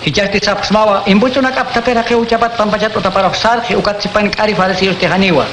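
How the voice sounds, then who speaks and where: High-voiced man in a radio drama. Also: steady tone, fast, a bit bright. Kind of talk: radio drama narration and acting. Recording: echoey room with noise.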